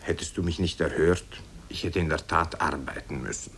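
A man's voice: very deep voice, steady tone, moderate pace, very noisy. Man playing a sly, mock-solemn idle sage. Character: sly, work-shy poor sage, serenely brazen.

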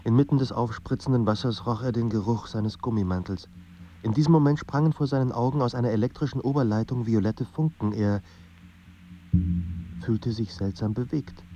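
A man performing as a grave, brooding narrator of literary radio drama, speaking in a measured way with dramatic pauses over a slightly muffled, noisy recording. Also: medium pitch, warm tone, close to an everyday voice. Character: literary narrator of a troubled young writer's life, grave and brooding.

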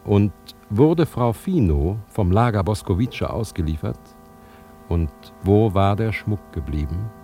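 An actor playing a suspenseful radio serial presenter, reading scripted narration with theatrical questions. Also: male voice, fairly low pitch, animated, a little slow, warm tone, faint music behind. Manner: teasing rhetorical questions, theatrical.

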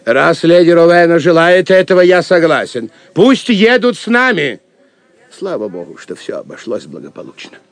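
A man's voice: a little high, sing-song, moderate pace, vocal fry. An actor playing a proud, hot-tempered older Saxon nobleman in an audio drama, declaiming pompously. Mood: commanding. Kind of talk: theatrical audio drama acting.

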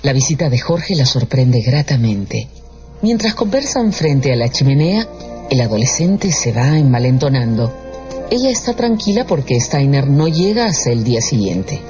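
A man's voice: medium pitch, sing-song, normal speed. Male dramatic narrator over music. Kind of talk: scripted dramatic literary narration. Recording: muffled radio production with loud music.

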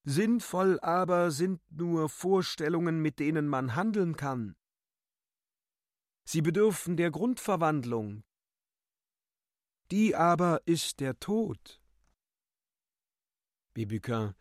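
A man giving a solemn, declamatory and deliberate dramatic recitation of literary text for a radio play. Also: on the high side, expressive, no echo, clean studio sound. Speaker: narrator and reciter.

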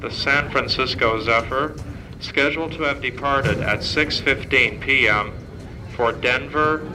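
A man as a train station announcer, making a slow, formal public address announcement. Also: mid-range voice, close to an everyday voice. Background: station and vehicle noise.

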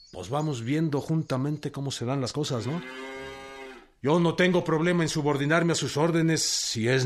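A man with a Mexican accent playing a proud, plain-spoken, guarded revolutionary chief in a radio drama. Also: fairly high pitch.

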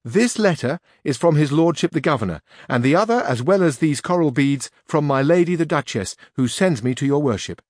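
A man voicing a quick-witted young page in a storybook reading, talking in a raised, courtly, eager way. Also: a little high, expressive, a little mellow, no room sound, clean studio sound, pitched above the performer's own voice.

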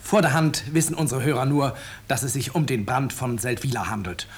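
An actor playing an urbane, ironic author who narrates his own story in a 1950s German radio drama, courteous and deliberate. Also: medium pitch, lively intonation, slightly rough. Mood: politely needling.

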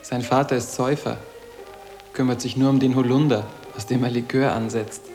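Man as defiant teenage boy, medium pitch, stilted terse delivery, literary radio drama, long reverb. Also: steady tone, moderate pace, a little mellow, soft background music, natural voice. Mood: matter-of-fact. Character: defiant, aloof teenage boarding school boy.

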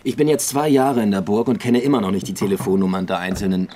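An actor playing an offhand, evasive police detective in a naturalistic radio drama. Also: male voice, on the low side, fairly even intonation, fast, mellow, slight background noise, natural voice. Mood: evasive, curt.

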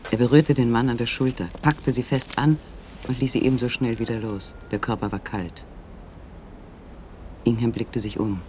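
Man, scripted literary narration, medium pitch, dramatic pauses, suspenseful, faint background noise.

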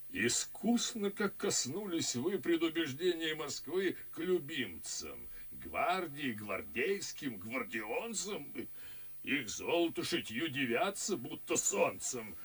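Gravelly, forceful army colonel. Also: male voice, medium pitch, full character voice. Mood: pompous, approving. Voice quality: gravelly, raspy. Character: blustering, self-assured army colonel, blunt and dim. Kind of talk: classic verse radio drama acting.

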